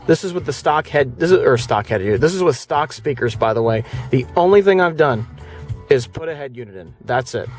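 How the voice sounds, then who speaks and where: A man sits in his car and talks through a stereo demo in an easygoing, chatty way. His voice sits at an ordinary middle pitch. Faint music plays from the speakers behind him. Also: fairly quick. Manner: relaxed and chatty, with fillers and restarts. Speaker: car audio reviewer. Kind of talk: casual hands-on product demo. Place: inside a car.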